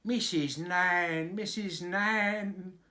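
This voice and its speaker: A man voicing an indignant young dairymaid who wails and complains in a shrill voice. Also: fairly even intonation, extremely slow, pushed and bright, full character voice. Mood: indignant, wailing.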